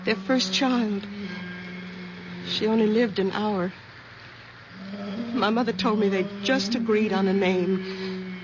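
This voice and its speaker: Actress doing a faltering forties daughter, tender and anxious, old radio play with loud hiss. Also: female voice, medium pitch, fairly even intonation, slow pace, long pauses, dull audio, natural voice. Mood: sad. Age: 40s. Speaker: devoted daughter. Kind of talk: 1970s radio drama acting.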